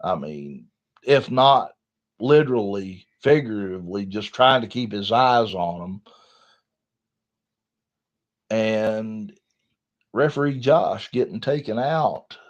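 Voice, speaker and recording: A man's voice: fairly low pitch, lively intonation, takes it slowly, long pauses. A man with a Southern US accent hosting a wrestling podcast, chatting and recapping matches in a folksy, colloquial storytelling way. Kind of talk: casual wrestling recap chat. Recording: dull, lo-fi podcast audio.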